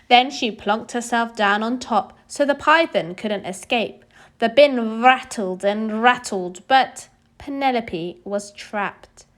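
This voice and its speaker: A woman narrates a picture book for young children with an expressive delivery. Her voice sits a little high, swings animatedly in pitch and takes its time over the words. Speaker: children's story reader. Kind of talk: expressive scripted storybook read-aloud.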